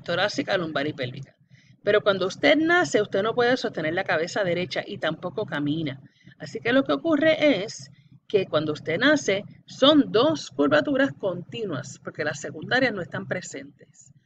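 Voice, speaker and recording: A woman lecturer explains material step by step, speaking straight to the student and pausing to check understanding. The recording is muffled and lo-fi, with clear background noise. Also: medium pitch. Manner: didactic, checks understanding often, addresses the student directly. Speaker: anatomy lecturer. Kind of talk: explanatory classroom-style lecture. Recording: dull lo-fi audio with background noise.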